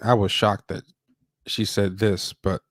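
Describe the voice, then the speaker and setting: A man hosting a livestream, giving casual news commentary in a rambling, halting way that often doubles back on itself. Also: on the low side, little pitch movement, no room sound, clean studio sound. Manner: rambling, halting, self-interrupting. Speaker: livestream host.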